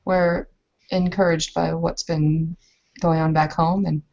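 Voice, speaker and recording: A woman playing a dry, guarded young bride in a tabletop roleplay, speaking deliberately and with pauses. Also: sits low, little pitch movement, creaky, a little mellow, lo-fi sound, heavily acted. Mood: wry, guarded. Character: dry, guarded young Bavarian noblewoman bride, werewolf kinfolk.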